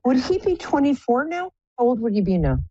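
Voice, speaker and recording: A woman with a low contralto voice hosting a live psychic call-in show, chatty and effusive, calling callers pet names. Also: sing-song, brisk, mellow, husky, no echo, lo-fi sound. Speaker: psychic radio host.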